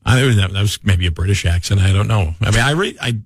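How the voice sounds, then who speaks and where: A man's voice: fairly low pitch, lively intonation, fast talker, breathy edge, no room sound, clean studio sound. A man with a Midwestern accent, co-hosting a radio show in laid-back, plainspoken chat. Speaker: radio co-host. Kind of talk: casual radio banter.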